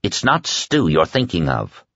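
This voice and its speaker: Man as a calm, stern reasoner, animated pitch. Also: no echo, dull audio, full character voice. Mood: gentle, firm. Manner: quiet, cold, measured argument.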